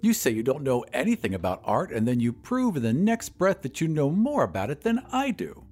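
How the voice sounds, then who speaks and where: A man voicing a gloomy old painter, cynical and mocking, with a gruff, blunt way of talking, in an audio story. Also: mid-range voice, wildly swooping pitch, fairly quick, darkish tone, faint music behind. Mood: teasing.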